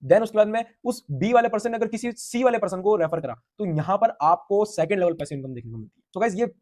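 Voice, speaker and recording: A man giving an energetic sales pitch for a referral income scheme, piling on hyped repetition.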